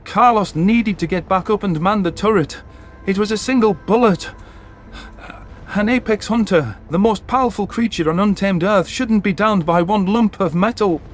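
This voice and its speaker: A man's voice: high voice, moderate pace. A man narrating a science fiction story in the first person with dramatic delivery. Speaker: audiobook narrator. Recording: narration over background music.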